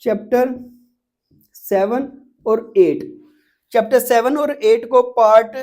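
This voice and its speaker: A man's voice: very high-pitched, slow, darkish tone. A man with a Pakistani accent giving an exam-prep lecture, explaining step by step as a teacher and often repeating points with little check-in words.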